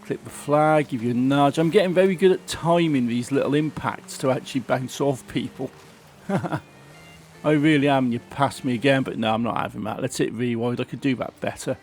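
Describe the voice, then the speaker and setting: A man's voice: medium pitch, normal speed, a little mellow, a little hiss or hum. A man with a sore throat, chatting casually as he plays and comments on a racing game. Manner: chatty, grumbling about the game. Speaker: gaming channel host.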